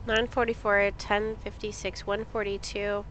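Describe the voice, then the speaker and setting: A woman's voice: mid-range voice, flat delivery, measured pace, fairly bright tone, slight background noise, low-quality recording. A woman streaming a game, sniffly, chatting casually with viewers and reading things aloud. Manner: chatty, reads things aloud, asks chat questions. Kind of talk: casual live-stream commentary.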